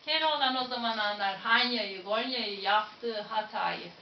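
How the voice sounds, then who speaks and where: A woman's voice: on the high side, a little slow. Woman storyteller, Central Anatolian accent. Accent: Central Anatolian Turkish. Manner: lively, dramatic, addresses listeners directly. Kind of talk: folk tale storytelling. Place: museum room. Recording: echoey room, distant, dull, faint noise.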